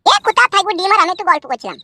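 A man plays a hot-headed young village man in a comic cartoon, with a rural West Bengal accent. The voice is very high and extremely fast, full of brash slang. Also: very animated, very noisy, exaggerated voice. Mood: coaxing.

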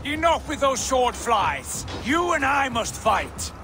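A man's voice: very high voice, very animated, slow, full character voice. An actor playing an exultant Viking warrior in a video game, with a grand, declamatory way of savoring his words over music. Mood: battle-hungry. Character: exultant Viking warrior brother feasting in Valhalla. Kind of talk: dramatic video game acting.

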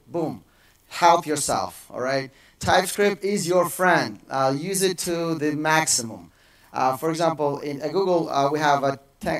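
A man's voice: on the high side, distant mic. Man giving a keynote in a hall. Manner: casual, many fillers and restarts. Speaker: conference speaker. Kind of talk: technical keynote talk. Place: large dark auditorium stage.

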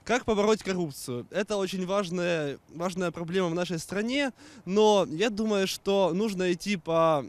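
A man from Donetsk answers a street interviewer out on a city square. His voice is quite high, and he speaks earnestly. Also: normal speed. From Donetsk, Ukraine. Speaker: passerby. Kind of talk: street vox pop.

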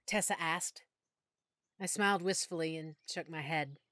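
A woman narrating a first-person audiobook in a soft, emotional storytelling manner. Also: deep voice, a little slow, dry recording.

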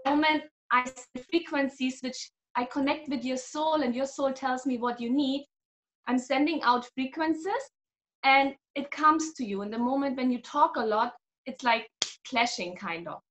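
A woman's voice: sits high, moderate pace, a bit bright, a little hiss or hum, low-quality recording. A woman in her thirties from Germany, a guest healer talking animatedly over a video call and laughing often. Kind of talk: casual video-call interview. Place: small room at home.

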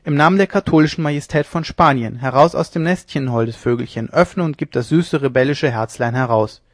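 Man putting on a cocky mocking lieutenant, loud and jeering, storybook reading, dry close sound. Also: mid-range voice, normal speed, dry recording, natural voice. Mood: boisterous. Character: swaggering mocking young male ship's lieutenant.

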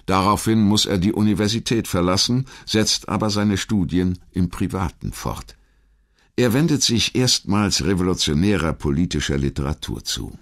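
Man, extremely deep voice, documentary narrator, scripted biography, measured formal storytelling. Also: animated.

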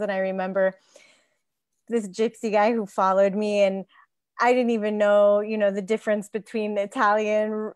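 A woman's voice: mid-range voice, no room sound, clean studio sound. A woman in her forties casually sharing stories and advice as a guest on a round-table call. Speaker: tour guide, panel guest. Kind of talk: casual conversational storytelling and advice. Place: living room couch, indoors. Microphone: wired earphone mic on a video call.

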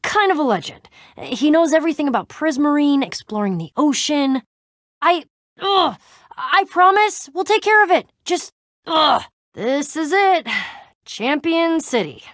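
A woman's voice: high voice, very animated, a little slow, fairly bright tone, no room sound, clean studio sound, heavily acted. An actress playing a tough, guarded young woman adventurer in a video game, speaking casually with wry exasperation and hesitant trailing-off. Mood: earnest, explaining.

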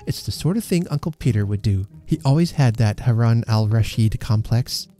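A man voicing a gentle, hopeful young woman in a story, speaking in an earnest, wondering way. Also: medium pitch, expressive, normal speed, lightly acted. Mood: gentle reproach.

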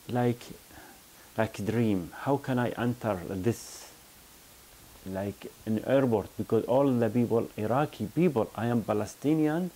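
Slow, gravelly-voiced man, halting speech. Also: lowish voice, warm tone. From Iraq. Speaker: interviewee. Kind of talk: personal testimony interview. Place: indoor office with bookshelves. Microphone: lapel mic.

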